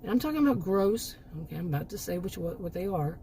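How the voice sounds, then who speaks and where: A middle-aged woman talks straight to her viewers in a rambling, emphatic way, doubling back on her words. Her voice is mellow and warm, with a hoarse edge, since she is losing it. A faint hiss of noise sits under the recording. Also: on the low side, animated, fast talker. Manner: rambling, emphatic, with frequent restarts and asides. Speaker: commentary channel host. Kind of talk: casual opinion monologue.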